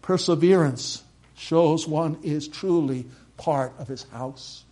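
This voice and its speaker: An older man with a slight Norwegian accent, preaching a sermon. He builds to loud, emphatic peaks and then drops to quiet, measured lines. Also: on the high side. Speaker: pastor.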